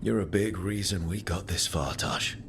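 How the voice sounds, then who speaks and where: Low-voiced, calm hero mage. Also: male voice, moderate pace, background noise. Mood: encouraging. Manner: calm, reassuring, plain-spoken. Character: steady, supportive young male mage leader of heroes. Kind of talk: video game character acting.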